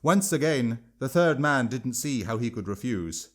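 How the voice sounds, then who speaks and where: A man's voice: mid-range voice, expressive, a little slow. A man narrating a written story as an audiobook-style reader and its author.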